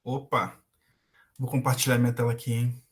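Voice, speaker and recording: Brief: young adult Brazilian man, guest lecturer on a video call, medium pitch, hesitant and full of fillers. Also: little pitch movement, normal speed. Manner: hesitant, frequent filler "é" and restarts. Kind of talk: casual online lecture.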